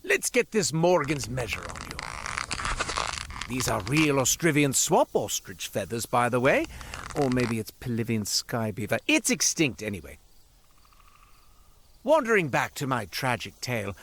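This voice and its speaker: A man's voice: mid-range voice, wildly swooping pitch, a little slow, fairly bright tone, slight background noise, exaggerated voice. An actor playing a chatty, self-important male merchant in a video game, wry, cowardly and theatrical, given to digressions as he tells his tale. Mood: salesman chatter, then dramatic, exasperated. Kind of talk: theatrical character monologue, storytelling.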